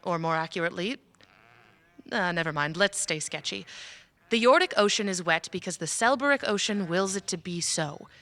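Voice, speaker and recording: A woman playing a patient, scholarly mage in a fantasy audio drama, speaking in a measured, formal, instructive way. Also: lowish voice, animated, fairly bright tone, dry recording. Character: scholarly female mage patiently teaching magic. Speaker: voice actor.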